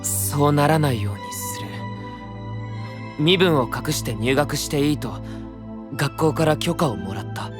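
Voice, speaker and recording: A man playing an earnest, troubled young knight of a secluded kingdom in a Japanese fantasy voice drama, soft-spoken, measured and sincere. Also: a little high, expressive, music in the background. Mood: earnest, resolute.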